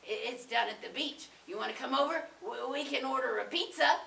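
A woman voicing a goofy, lovesick male pirate with a gravelly growl and blustery comic pirate talk. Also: high-pitched, a little fast, bright, forceful tone, full character voice. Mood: pleading, smitten. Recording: echoey room, distant mic.

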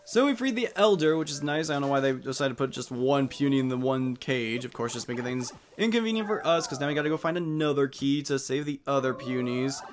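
A man's voice: medium pitch, expressive, quick pace, few pauses. A man giving casual let's play commentary on a video game, with jokey, playful asides.

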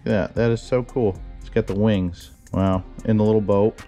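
A young man, a storage unit vlogger, chatting excitedly as he digs through boxes in an indoor storage unit on a head-mounted camera mic. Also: on the low side, moderate pace, faint music behind. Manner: excitable, keeps exclaiming wow and singing snatches. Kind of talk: excited casual narration while unboxing.

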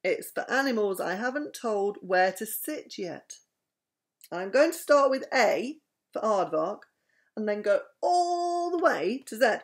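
A woman's voice: mid-range voice, sing-song, unhurried. An older woman voicing a bossy, eager male frog in a picture book. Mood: proud.

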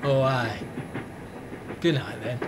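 A man's voice: medium pitch, takes it slowly, lightly acted. A man playing a breezy, cheeky young football fan in a radio play, casual and brief in his replies. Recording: train noise over voice.

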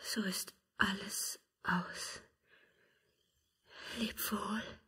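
Distant and very breathy, a dying witch in an old radio play. She whispers very slowly, tender and reproachful, in long plaintive phrases. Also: mid-range voice, fairly even intonation, sharp tone, full character voice. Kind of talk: 1950s German radio verse drama acting.

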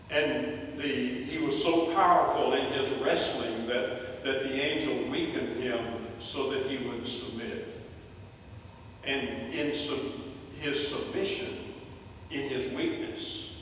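An older man preaching a measured sermon as a pastor, pausing often and restarting his phrases. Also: medium pitch, a little hiss or hum. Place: church sanctuary, in front of a pulpit. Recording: very echoey church hall from far off.

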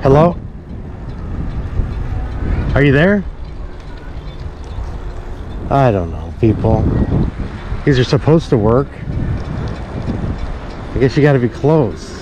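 A man's voice: medium pitch, lively intonation, slow pace, pauses often. A middle-aged man chatting casually and ramblingly to viewers while out riding, with jokey asides. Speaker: vlogger. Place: outdoors on a suburban street. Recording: dull action-camera audio, outdoor street noise.

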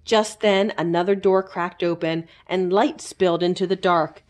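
A woman storyteller reading a children's book aloud expressively, with careful, dramatic emphasis and pauses. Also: lowish voice, fairly even intonation. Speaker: library storyteller.

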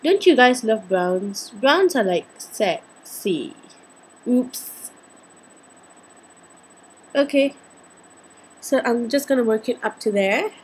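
A woman in her twenties with a Singaporean accent and a slightly husky voice, chatting playfully through a casual makeup tutorial. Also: on the high side, expressive, slow, long pauses, rough recording. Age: 20s. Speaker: beauty vlogger. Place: indoors, small home room.

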